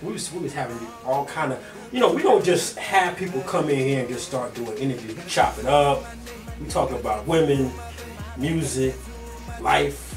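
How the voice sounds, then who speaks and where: A man's voice: mid-range voice, animated, normal speed, roomy sound, distant mic, music in the background. A man hosting a hip-hop magazine interview, asking questions in an upbeat, hype style. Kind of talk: casual interview.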